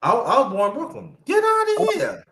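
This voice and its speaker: A man in his fifties from New York, hosting a casual live-stream chat and giving loud shout-outs to viewers. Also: very high-pitched, huge pitch swings, moderate pace, gravelly. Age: 50s. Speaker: livestream host.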